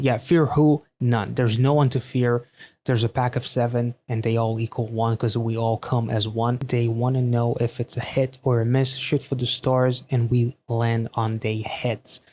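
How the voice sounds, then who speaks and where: A man's voice: mid-range voice, level tone, normal speed, a little mellow. A man hosting a music reaction, talking casually and enthusiastically about a song and its lyrics, with some offhand swearing.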